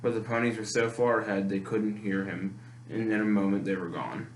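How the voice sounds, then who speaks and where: Man, lowish voice, picture book read aloud, roomy echo. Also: fairly even intonation, a little fast. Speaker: storytime reader. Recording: echoey room with clear background noise.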